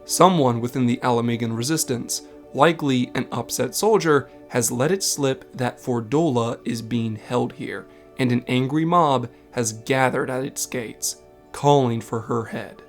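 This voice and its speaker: A man's voice: medium pitch, a little slow, faint music behind. A man delivering scripted narration as the host of a video essay. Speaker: video essay narrator and game content creator.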